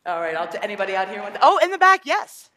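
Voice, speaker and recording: A woman's voice: mid-range voice, very animated, racing, sharp tone. A woman leading a congregation through a shared reading, encouraging and playful with participants. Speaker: service leader.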